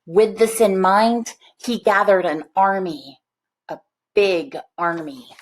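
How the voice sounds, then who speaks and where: A woman reading a picture book aloud to children, with expressive, deliberate phrasing. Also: medium pitch. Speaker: storybook reader. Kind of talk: read-aloud storytelling for children.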